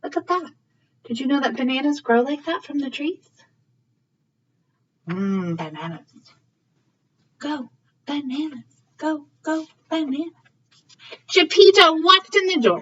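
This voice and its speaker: A woman's voice: high voice, expressive, unhurried, long pauses, fairly bright tone, a little hiss or hum. A woman reading a story aloud for young children in a teacherly way, often checking in with questions. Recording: slightly muffled, distant mic.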